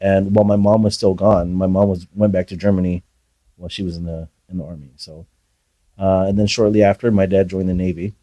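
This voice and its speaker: Soft-spoken deep-voiced man, hesitant. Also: level tone, no echo. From Philippines. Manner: soft-spoken, hesitant with many pauses and fillers. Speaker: podcast guest. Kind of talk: reflective personal storytelling.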